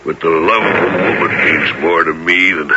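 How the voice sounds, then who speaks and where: An actor playing a proud, weary frontier fighter who is gravely ill and weak, in a radio drama. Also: male voice, sits low, normal speed, lo-fi sound, natural voice. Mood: wistful. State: weak, ailing. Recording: very noisy, crackly late-1970s radio recording.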